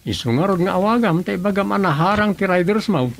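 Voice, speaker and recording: A man's voice: sits high, lively intonation, brisk, creaky. A man with an Ilocano accent playing a plain-spoken villager in a radio comedy drama, explaining matter-of-factly. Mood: reporting.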